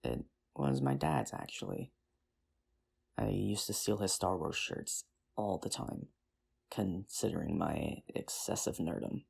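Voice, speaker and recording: A performer in an ASMR roleplay playing a nerdy, earnest high school teacher, rambling with hesitant, self-deprecating charm in an intimate, conversational way. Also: expressive, a little slow, gravelly, darkish tone, breathy edge, heavily acted. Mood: playful, friendly.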